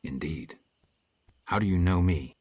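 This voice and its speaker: A man playing a stoic, gruff witcher in a video game, speaking in terse, flat, dry lines. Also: low voice, unhurried, no room sound. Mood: calm, terse. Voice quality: gravelly, husky. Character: stoic, gruff mutant monster hunter, a grim male witcher. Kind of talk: video game dialogue acting.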